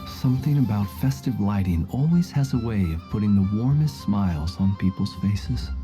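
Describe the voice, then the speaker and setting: A man voicing a gracious, poetic gentleman in a video game, speaking in polite, flowery, formal phrases. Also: on the low side, natural voice.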